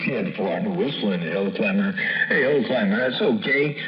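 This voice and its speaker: A man's voice: fairly low pitch, animated, unhurried, lo-fi sound, loud music behind the voice. A middle-aged American man chatting in CB radio slang and call signs, with a jokey, folksy manner, over a radio transmission. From US West Coast. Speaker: CB radio operator. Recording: band-limited CB radio sound.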